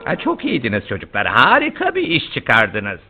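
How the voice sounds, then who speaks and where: A man's voice: mid-range voice, huge pitch swings, normal speed. A man voicing an enthusiastic, kindly tree doctor who explains and encourages in a children's cartoon. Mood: proud, encouraging. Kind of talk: animated children's cartoon dubbing. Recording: tinny, band-limited audio with loud music behind.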